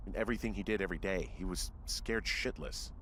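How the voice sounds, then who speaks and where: An actor playing a weary, plain-spoken middle-aged fire lookout in a video game, speaking in a terse, subdued, halting way. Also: male voice, low voice, background noise, close to an everyday voice. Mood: troubled. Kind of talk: naturalistic video game dialogue.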